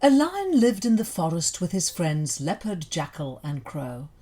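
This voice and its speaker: A woman narrating a scripted folk tale for children in an expressive, deliberate storytelling manner. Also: fairly low pitch.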